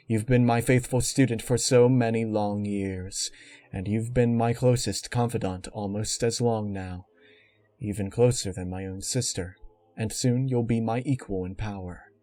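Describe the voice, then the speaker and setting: Guy reading as a royal pony mentor lady, calm n sinister, formal, lowish voice, dark fanfic story. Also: natural voice. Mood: confiding. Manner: measured, formal, composed. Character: regal female pony ruler, calmly sinister mentor.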